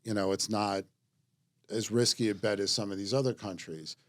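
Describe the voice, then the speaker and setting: A man's voice: on the low side, steady tone, normal speed. A man hosting a real estate podcast in a casual panel talk, joking easily and reading out market figures. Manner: joking, easygoing, reads out news figures. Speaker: podcast host.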